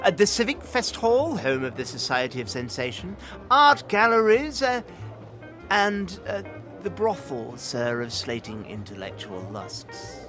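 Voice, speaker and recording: Man playing a fussy, high-pitched, nervous bookish clerk. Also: big pitch swings, measured pace, a bit bright. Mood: hesitant, polite. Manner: stammering, fussy, formal and apologetic. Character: nervous, bookish male clerk and records researcher. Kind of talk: acted audio drama dialogue. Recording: music under the voice.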